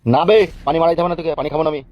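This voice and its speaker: A man as a high-voiced, swaggering cartoon gangster boss. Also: fairly even intonation, rapid-fire, dull audio, close to an everyday voice. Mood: stern, commanding. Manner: menacing, mocking, swaggering. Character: bullying, foul-mouthed gangster boss, swaggering big brother. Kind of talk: comedy cartoon dubbing.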